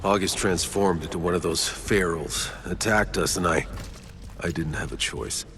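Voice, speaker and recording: A man playing a weary, gruff sci-fi soldier in a video game cutscene, terse and low-key. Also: normal speed, noisy background. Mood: troubled, curious. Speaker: player character Outrider. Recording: loud music behind the voice.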